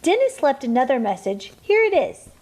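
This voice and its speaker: A woman playing a brisk, firm mother who gives instructions as producer in a family radio play. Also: sits high, sing-song, a little mellow. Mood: encouraging, businesslike.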